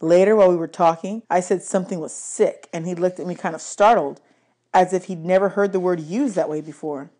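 Woman reading a kids adventure book out loud, lowish voice, expressive. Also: fairly quick. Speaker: audiobook reader. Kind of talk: expressive storybook read-aloud.

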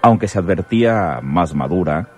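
A man's voice: sits low, lively intonation, moderate pace, darkish tone. A man narrating a radionovela in a solemn, florid, theatrical style. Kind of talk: dramatic scripted radionovela narration. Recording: faint music behind.

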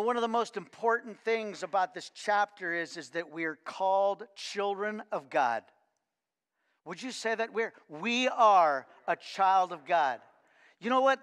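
A man's voice: high-pitched, a bit bright. An older man in his sixties preaching a sermon and reading scripture, earnest and repeating words for emphasis. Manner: earnest, repeats words when stressing a point. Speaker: pastor. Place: church stage with lectern. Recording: church hall reverb.

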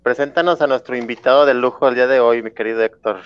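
A man's voice: mid-range voice, fairly even intonation, fast talker, smooth, no echo. A man with a Mexican accent, a talk show host chatting casually over a video call. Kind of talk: casual banter. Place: indoor room, video call. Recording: dull lo-fi video call audio.

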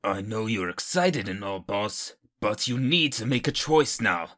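An actor playing a grumbling, uneasy, skeptical henchman with a raspy voice in an audio drama. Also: fairly low pitch, lively intonation, unhurried, fairly bright tone.

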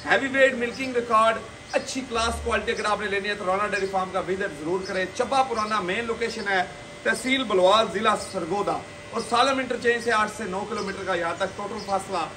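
Young man, Punjabi accent, high-pitched, chatty livestock pitch, outdoors. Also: brisk, background noise. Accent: Pakistani Punjabi. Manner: chatty salesman patter, repeating stock phrases. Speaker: vlogger showing dairy cattle. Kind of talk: lively livestock sales tour. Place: outdoor cattle shed at a farm.

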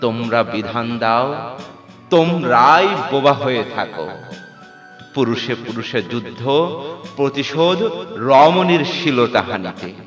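A man's voice: mid-range voice, animated, slow, long pauses, a bit bright. Man reciting as a defiant wronged epic queen, echoing. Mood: accusing. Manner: declamatory, theatrical. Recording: echoey reverberant hall sound, dull, with music.

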